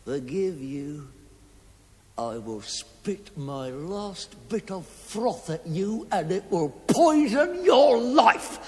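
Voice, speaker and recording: An actor playing a bitter, taunting, delirious dying army cook in a television play, ranting theatrically and mockingly. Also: male voice, high voice, big pitch swings, extremely slow, exaggerated voice. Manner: theatrical, mocking, swinging from mutter to shriek. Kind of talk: television stage-play acting. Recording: echoey hall with long reverb.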